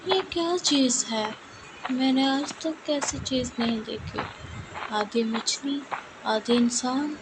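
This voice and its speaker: A woman's voice: sits high, slow pace, noisy background. A woman voicing a lonely, naive young boy in a children's storybook tale, with a plaintive manner. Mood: puzzled.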